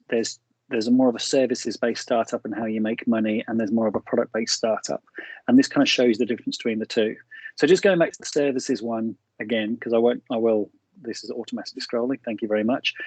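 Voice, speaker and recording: A man's voice: medium pitch, darkish tone, husky, no room sound, poor audio. A middle-aged man with an Australian accent, giving a frank, cynical seminar talk over a video call with many fillers and restarts. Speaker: guest speaker.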